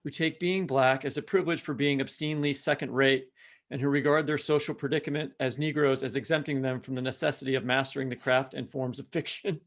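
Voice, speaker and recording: A man co-hosting a literary podcast, moving between conversation and reading aloud. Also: mid-range voice. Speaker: podcast co-host.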